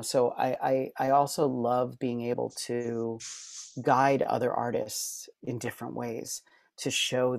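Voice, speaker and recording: A man's voice: medium pitch, creaky, darkish tone, no room sound. A middle-aged man, a podcast guest and author, talking earnestly and heartfelt, with many fillers and restarts. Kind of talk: reflective interview talk.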